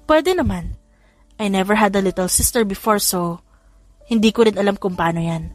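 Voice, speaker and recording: A woman's voice: mid-range voice, normal speed, no echo, soft background music, close to an everyday voice. A woman voicing a kind, accepting young woman in an audiobook. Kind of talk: audiobook character dialogue.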